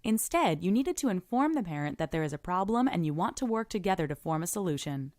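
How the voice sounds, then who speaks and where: A woman presenting scripted instructional narration, giving encouraging, clearly structured advice as a podcast presenter. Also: lowish voice, big pitch swings, quick pace, darkish tone, no room sound, clean studio sound.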